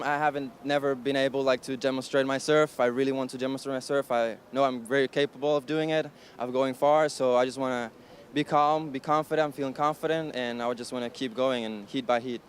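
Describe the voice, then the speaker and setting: A man's voice: mid-range voice, fairly even intonation, a little fast, slight background noise. A young man from Norway, a junior surfer, talking eagerly in a beachside interview. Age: young adult. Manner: eager, simple phrasing. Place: outdoors on a beach.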